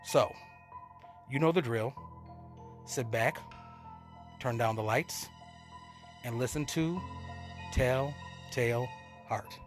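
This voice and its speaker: A man's voice: mid-range voice, takes it slowly, long pauses, music in the background. A man hosting a show of old radio plays, giving a scripted introduction. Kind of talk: scripted narration.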